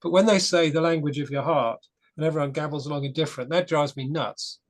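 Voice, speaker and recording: A man's voice: on the high side, quick pace. A man speaks in a slightly raised voice, frank and opinionated. He tells stories in casual group talk and often restarts his sentences. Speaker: discussion group participant.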